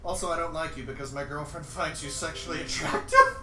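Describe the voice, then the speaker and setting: A man's voice: on the high side, wildly swooping pitch, fairly quick, pushed and bright, soft background music, full character voice. Man reading as smug boss villain, roomy sound. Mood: scornful. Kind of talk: mock screenplay read-aloud. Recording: roomy, distant mic, faint noise.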